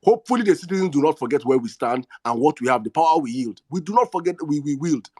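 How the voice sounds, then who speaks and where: Emphatic higher-pitched Nigerian man hosting. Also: lively intonation, fast talker, darkish tone, no room sound, studio quality. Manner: emphatic, repetitive, rhetorical. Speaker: host of an online audio discussion space. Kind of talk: impassioned political commentary.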